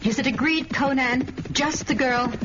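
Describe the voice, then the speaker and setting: A woman's voice: high voice, a little slow, noisy background. An actress playing a devoted, earnest warrior woman in melodramatic radio fantasy style. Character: devoted, fervent warrior woman, loyal to her lover. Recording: dull lo-fi radio, very loud music.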